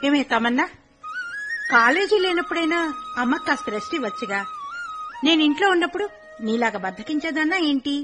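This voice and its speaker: A bossy big sister in a radio play, high voice, faint music under. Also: female voice, expressive, brisk, pauses often. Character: young woman, elder daughter, postgraduate student. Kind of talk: radio drama acting.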